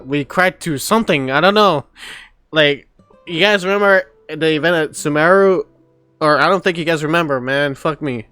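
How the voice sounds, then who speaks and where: A woman giving casual, exclamatory reactions to a game as a streamer, expressive and prone to swearing. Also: sits low, clean tone, no echo. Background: game music.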